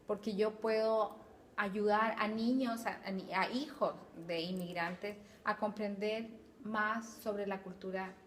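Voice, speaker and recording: A woman's voice: medium pitch, steady tone, slow pace, a bit bright. Woman, from Chile, middle-aged, Spanish teacher, thoughtful, hesitant repeats, slight background noise. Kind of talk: casual interview answers.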